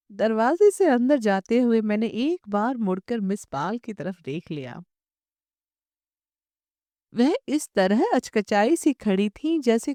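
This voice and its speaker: A woman's voice: mid-range voice, a little mellow, no room sound, clean studio sound. A woman reading a literary short story as an audiobook narrator.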